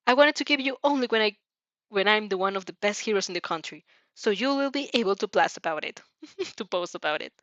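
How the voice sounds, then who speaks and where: A woman plays a clingy, dramatic teenage boy in an animated story reading. He sounds whiny and declamatory, recorded dry with a slightly dull top end. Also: mid-range voice, fairly quick, no room sound, close to an everyday voice. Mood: eager, boastful. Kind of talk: animated fan fiction character reading.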